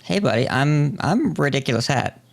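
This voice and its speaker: A male podcast host with a noticeably creaky voice at an average pitch. He talks in a conversational interview style, building wordy, considered phrases. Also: animated, moderate pace.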